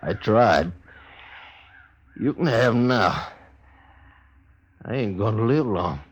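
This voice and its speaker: An American man playing a wounded, blunt, plain-spoken man of the Old West in a 1950s radio western, with a gravelly voice and folksy speech. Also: on the low side, lively intonation, slow, full character voice. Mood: dying, resigned. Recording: faintly noisy old radio recording.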